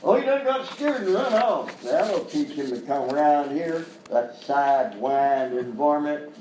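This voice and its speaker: A blustering Old West gunslinger, acted by a man for live radio-style comedy. He drawls in folksy Western American fashion, high and swinging in pitch. The mic is some way off. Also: animated, measured pace, a little mellow. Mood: boastful. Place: live performance in a hotel venue. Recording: roomy live stage, distant mic, faint noise.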